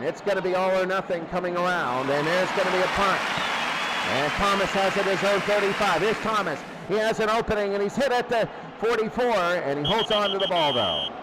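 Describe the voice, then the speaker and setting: A high-pitched man does radio football play-by-play over a loud crowd. His calls come in short running phrases, circling back to the down and the score. The sound is clearly noisy. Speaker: play-by-play sports announcer. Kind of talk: live American football radio commentary. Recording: loud crowd noise behind commentary. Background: noisy sports crowd.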